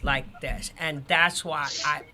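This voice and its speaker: A woman's voice: deep voice, fairly even intonation, slow pace, bright, forceful tone. A middle-aged or older woman chatting as an interview guest, affirming others and repeating phrases for emphasis. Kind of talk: casual group conversation. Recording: busy chatter behind the voice.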